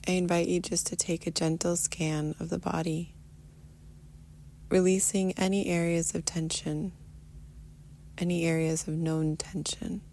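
Low mellow lady voice doing guided meditation, soothing, bit of fry, trails off breathy. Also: flat delivery, a little hiss or hum. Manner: soothing, unhurried, often trailing into breathy near-whisper. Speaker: guided meditation teacher, podcast host. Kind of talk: guided meditation, soft instruction.

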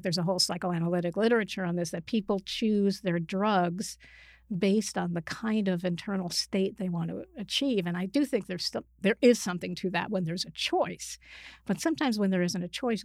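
A woman speaking as an expert guest in a conversation, explaining in a measured, analytical way with hesitant self-corrections. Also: lowish voice, a little mellow, dry recording, clean studio sound. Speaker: expert guest, psychiatrist and author.

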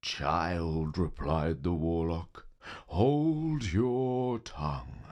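A man's voice: low-pitched, expressive, darkish tone, exaggerated voice. A man playing a brooding, forgetful spirit who guards graves, telling old tales in a solemn theatrical manner for an audio play. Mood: ominous. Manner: solemn, theatrical, deliberate storytelling. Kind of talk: audio play with verse recitation.

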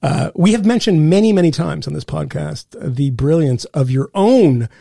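A man's voice: fairly high pitch, lively intonation, moderate pace, vocal fry, dark tone, no echo, clean studio sound. A man hosting a podcast, joking, teasing and rambling through a casual chat. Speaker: podcast host.

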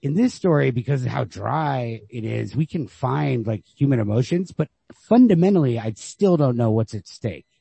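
Male podcast co-host, husky and creaky, casual book talk, feels his way through each point. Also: mid-range voice, expressive, moderate pace, few pauses, mellow, no echo. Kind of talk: casual literary discussion.

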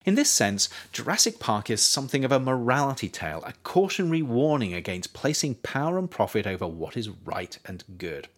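A man, the author, reading his own nonfiction book aloud in a measured, emphatic way, lifting key words and dropping his voice at the ends of sentences. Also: medium pitch, very animated. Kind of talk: audiobook narration.

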